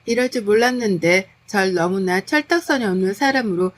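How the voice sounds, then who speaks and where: Woman calmly reading a personal story. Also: mid-range voice, brisk. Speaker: narrator reading a submitted story. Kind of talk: scripted first-person story narration.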